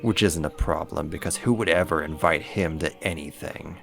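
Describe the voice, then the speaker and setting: A man acts out a big, boastful male werewolf for a roleplay audio drama. His voice is very low and gravelly, with a smug, flirty, possessive swagger. Faint music plays underneath. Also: normal speed, a bit bright, exaggerated voice.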